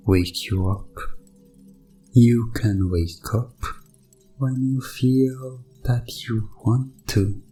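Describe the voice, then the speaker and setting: Man acting as a slow, sinister hypnotist doctor. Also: fairly low pitch, warm tone. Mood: soothing. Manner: slow, commanding, soothing, deliberate countdown-style delivery. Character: sinister male doctor hypnotizing a drugged test subject. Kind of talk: hypnosis ASMR roleplay.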